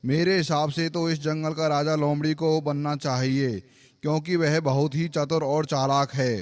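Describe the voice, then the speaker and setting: Man voicing a higher-pitched solemn cartoon lion king. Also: flat delivery, normal speed, close to an everyday voice. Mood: solemn, deliberate. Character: solemn, fair-minded old lion king of the jungle. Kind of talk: animated moral story acting.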